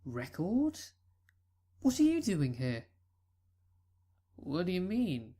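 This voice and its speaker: A woman's voice: fairly low pitch, huge pitch swings, slow, dark tone, full character voice. A woman voicing a shy, bookish teenage colt who stammers when flustered, in a fan audio reading.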